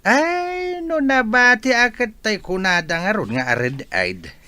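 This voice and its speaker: A man's voice: sits high, animated, slow pace, pushed and bright, no echo, exaggerated voice. A man playing a jolly old grandfather fond of a drink, acting in a jovial, chuckling way in an audio drama. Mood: jovial, eager.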